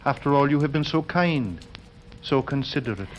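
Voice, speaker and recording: An actor playing a strange, pious gentleman lodger, formal and deliberate, in a 1940s radio drama style. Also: mid-range voice, lively intonation, natural voice. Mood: contrite, polite. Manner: formal, deliberate, sermonizing speech. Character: strange, pious, intense gentleman lodger, soft-spoken yet stern. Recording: muffled old radio recording with music.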